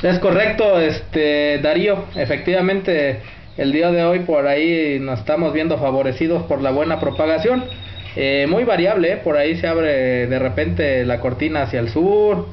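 A man's voice: on the high side, normal speed. Mexican radio operator, man, in a roomy recording. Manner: courteous, uses radio codes and sign-offs. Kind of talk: radio contact chat, reporting signal and equipment. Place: indoors at a radio set. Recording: roomy, dull, faint noise.

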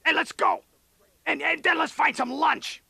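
A man voicing a hungry, slow-witted brute in a radio comedy sketch, raspy and creaky, talking in blunt, childlike sentences. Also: very high-pitched, fairly even intonation, very noisy, heavily acted. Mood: hungry, restless.

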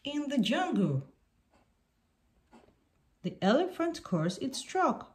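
A woman reading a picture book aloud for young children in deliberate, simple sentences. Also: sits high, very animated, a little hiss or hum.

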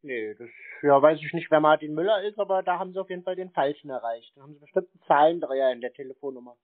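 Male call recipient, brisk and fairly high, obstinate and feigning ignorance, tinny phone audio. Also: expressive. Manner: stubborn, feigns cluelessness. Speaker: call recipient baiting a telemarketer. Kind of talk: phone conversation. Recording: narrow tinny phone line.

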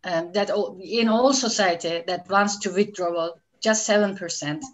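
A woman lawyer from Turkey answers questions on a video call, speaking English with a Turkish accent. Her voice sits at a middle pitch, and she keeps a measured pace with only a few fillers. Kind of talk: online panel discussion.

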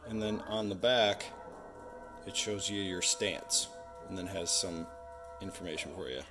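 A man's voice: low voice, a little slow, music in the background. A man in his forties chatting casually in a vlog about his day at home. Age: 40s. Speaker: vlogger running an online resale business. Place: home office with bookshelves.

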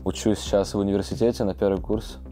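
A man in his late teens from Russia, a first-year university student, answering questions quietly. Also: lowish voice, little pitch movement, fast, faint music behind.

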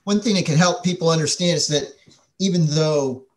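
Older man with a higher-pitched voice. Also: normal speed. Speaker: theater panelist. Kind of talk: explanatory panel talk. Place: indoors at home, on a video call. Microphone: computer mic on a video call.

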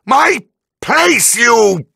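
An actor voicing a petulant, blustering evil spirit boss in a video game, with a gravelly, forceful, cartoonish voice and theatrical taunts. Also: male voice, extremely high pitch, big pitch swings, drawn-out words, dry recording, studio quality, full character voice. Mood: annoyed whining. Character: bratty evil villain boss spirit with new body. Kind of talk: exaggerated video game villain voice acting.

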